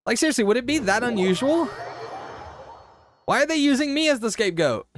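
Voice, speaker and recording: High-voiced man giving casual game commentary. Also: lively intonation, brisk, fairly bright tone, a little hiss or hum. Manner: chatty, self-deprecating, reacts to game dialogue. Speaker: gaming streamer. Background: faint game music.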